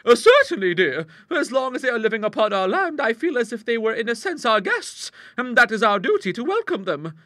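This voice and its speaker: A man's voice: very high-pitched, very animated, fairly quick, few pauses, sharp tone, dry recording, studio quality, voice pushed up, full character voice. A man voicing a timid, easily horrified genteel old spinster in an audiobook, with breathless, quavering speech. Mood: prim, dutiful. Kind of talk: audiobook character voice.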